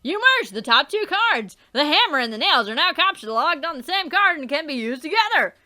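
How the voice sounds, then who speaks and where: A woman's voice: sits high, sing-song, fairly quick, bright, forceful tone. A young woman hosting a let's play, reading a webcomic aloud with theatrical delivery, swearing and trailing off into casual asides.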